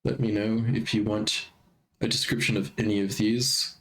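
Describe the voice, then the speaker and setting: A man streaming live in a casual chatty way, a bit stuffy from allergies and clearing his throat often. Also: fairly low pitch, flat delivery, normal speed, a bit bright, low-quality recording.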